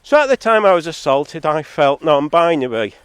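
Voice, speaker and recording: A man's voice: very animated, moderate pace, clear, resonant tone, no room sound. Sardonic man with a head cold, clipped audio. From Zambia-born, living in England. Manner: argumentative, sardonic, wandering asides. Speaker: solo video maker. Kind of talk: rambling outdoor vlog monologue. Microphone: phone or camera, recorded too hot. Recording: distorted, clipping from high recording level.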